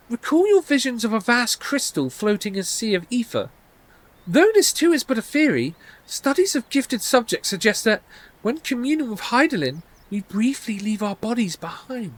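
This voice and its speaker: Man putting on a high-pitched earnest fantasy scholar. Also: fairly bright tone, full character voice. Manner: formal, precise, explanatory. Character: earnest scholarly researcher explaining theories in a fantasy game.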